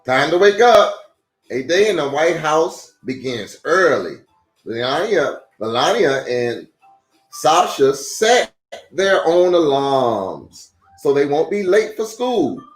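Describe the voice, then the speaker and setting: Man, children's storytime host, animated read-aloud, expressive pitch swings, echoey room, music in the background. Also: takes it slowly. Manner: addresses young listeners directly with rhetorical questions and exclamations. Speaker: storytime host and pastor reading a children's book. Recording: roomy echo with music underneath.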